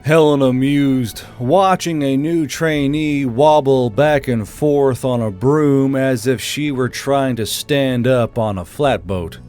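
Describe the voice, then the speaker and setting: Casting: male narrator for fantasy audiobook, mid-range voice, scripted storytelling, gentle music bed. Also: medium pitch, slow pace, few pauses.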